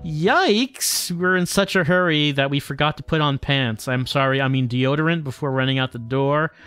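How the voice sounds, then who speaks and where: Clear higher-voiced man, wry game streamer. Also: normal speed, a bit bright, no room sound. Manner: wry, self-deprecating. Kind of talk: casual livestream commentary, reading game text aloud.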